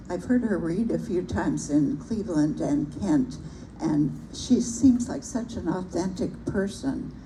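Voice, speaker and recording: An older woman with a soft, gentle voice, reading a favorite poem aloud at a bookstore event and talking about it reflectively. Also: lowish voice, expressive, slow pace, few pauses. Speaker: poetry reader at a public reading. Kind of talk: reciting a poem with personal remarks. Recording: noisy live event recording.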